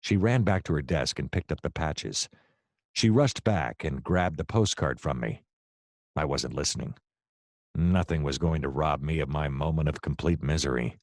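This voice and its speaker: A man's voice: rumbling low, normal speed, darkish tone, no room sound, clean studio sound. A man playing a wry, self-pitying private detective in a noir radio play, narrating and speaking with theatrical flourish. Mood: melodramatic despair.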